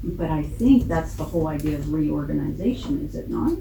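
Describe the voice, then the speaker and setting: A woman's voice: sits low, lively intonation, a little fast. A plain-spoken American woman chairing a council meeting as its president, using informal parliamentary procedure. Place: indoor council chamber. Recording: echoey meeting room with clear background noise.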